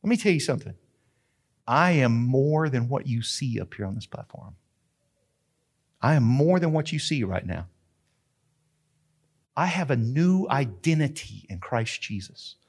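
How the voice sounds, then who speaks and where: A man in his fifties preaching a sermon as pastor from a church platform, animated and breaking into shouts and laughs. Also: medium pitch, unhurried, long pauses, slightly rough. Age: 50s.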